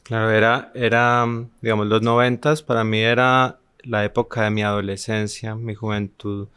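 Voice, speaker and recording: A man's voice: on the low side, level tone, normal speed, a bit bright, clean tone, no room sound. A man in his forties from Armenia, Colombia, reminiscing as a podcast guest with frequent hesitations and restarts. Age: 40s. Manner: hesitant, many restarts and fillers. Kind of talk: conversational interview, reminiscing.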